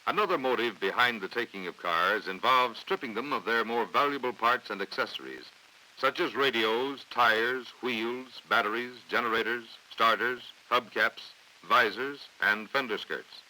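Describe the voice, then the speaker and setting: An American man reading scripted training film narration in a formal, authoritative, measured way on a vintage 1950s soundtrack. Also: medium pitch, sharp tone, low-quality recording. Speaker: documentary narrator.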